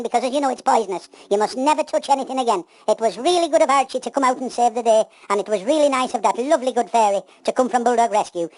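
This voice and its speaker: A woman's voice: high voice, darkish tone, poor audio. A woman playing a kindly, protective, fussing uncle in a children's pantomime story. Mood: fond, warning.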